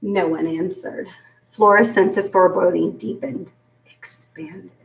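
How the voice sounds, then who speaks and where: A woman reading a children's book aloud in an expressive storytelling style. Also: lowish voice, measured pace, gravelly. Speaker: story reader. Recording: roomy echo, distant from mic.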